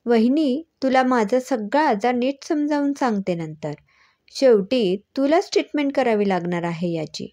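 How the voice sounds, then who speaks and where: A woman's voice: on the high side, expressive, moderate pace, mellow, dry recording, pitched above the performer's own voice, natural voice. A woman storyteller voicing a playful, cheeky young woman who teases and dodges questions. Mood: evasive.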